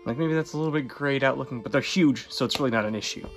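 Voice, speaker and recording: A man giving a casual spoken book review, relaxed and chatty with lots of ums and little laughs. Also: mid-range voice, quick pace, faint music behind. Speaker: book reviewer and swordsmanship instructor.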